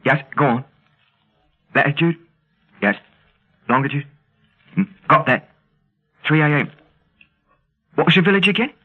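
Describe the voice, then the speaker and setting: Lively, cheeky Cockney adventurer. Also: male voice, on the high side, a little slow, pauses often. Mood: excited, chirpy. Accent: English Cockney. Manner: chirpy, slangy, exclamatory. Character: plucky, cheeky Cockney adventurer, unimpressed by threats. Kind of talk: vintage radio serial acting. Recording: dull, band-limited old radio recording.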